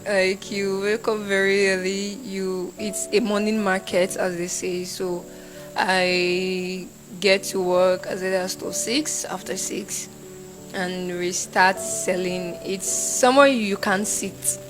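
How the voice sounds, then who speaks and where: A woman's voice: medium pitch, slow, over music, poor audio. Young Nigerian woman in an interview. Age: young adult. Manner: animated, acts out shouted quotes. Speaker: interviewee, university student. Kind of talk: casual interview.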